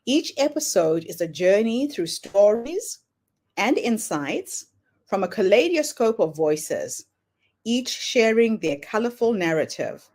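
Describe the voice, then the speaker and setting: Lively woman hosting a podcast. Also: mid-range voice, slow. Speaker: podcast host. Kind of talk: scripted podcast intro and interview hosting.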